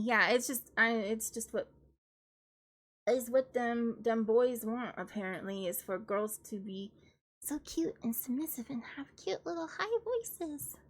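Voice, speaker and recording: A young woman, a classical singer, reacts to music on a live stream in a casual, thinking-aloud way, opinionated and analytical, slightly nasal at times. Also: on the high side.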